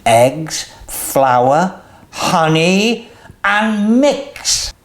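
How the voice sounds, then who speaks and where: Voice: mid-range voice, very animated, very slow, pitched above the performer's own voice. Storyteller voicing a cheerful, bossy lady sheep baker. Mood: instructing.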